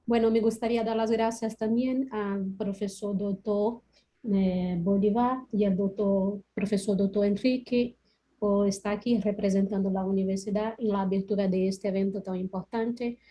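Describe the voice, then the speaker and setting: A woman's voice: medium pitch, fairly even intonation, moderate pace, mellow. A woman from Brazil with a Portuguese accent, giving an academic webinar talk over a video call, hesitant with many fillers. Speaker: guest lecturer.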